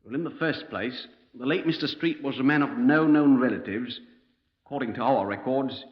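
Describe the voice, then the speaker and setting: A man's voice: medium pitch, moderate pace, natural voice. An actor playing a precise, fussy, deferential oil company man in a 1950s radio crime drama. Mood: fussy, explanatory. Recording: dull, roomy 1950s radio recording.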